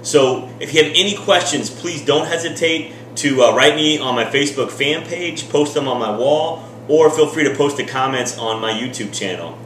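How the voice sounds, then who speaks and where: A man's voice: medium pitch, normal speed, hardly any pauses, fairly bright tone, echoey room, distant mic, a little hiss or hum. A man in his thirties giving a casual cooking how-to in his home kitchen, talking in an upbeat, direct coaching tone. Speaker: fitness vlogger.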